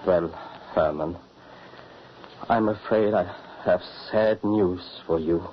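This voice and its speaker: An actor playing a calm, grave, kindly husband in an old-time radio drama, on a muffled, hissy recording. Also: male voice, low-pitched, slow. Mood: soothing.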